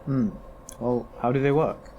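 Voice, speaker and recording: A man's voice: mid-range voice, fairly even intonation, a little slow, mellow, highs cut off, natural voice. Cautious male patient acted by a man, faint background noise. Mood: doubtful, curious. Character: patient near death weighing heart replacement options, cautious, inquisitive. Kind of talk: amateur audio drama acting.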